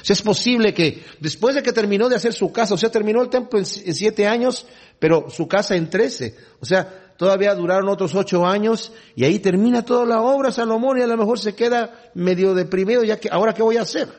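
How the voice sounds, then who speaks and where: A man with a somewhat high, husky voice teaching from the Bible. He reads the scripture passages aloud, then breaks off into relaxed, conversational explanation. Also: brisk. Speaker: preacher.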